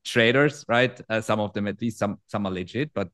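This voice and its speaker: A man's voice: on the low side, a little fast, fairly bright tone. A man in his forties from Germany, speaking English with a German accent as a non-native speaker, chatting in a friendly way as a guest in an interview. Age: 40s. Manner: friendly, laughs easily, restarts phrases in non-native English. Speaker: guest trader. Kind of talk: casual interview chat.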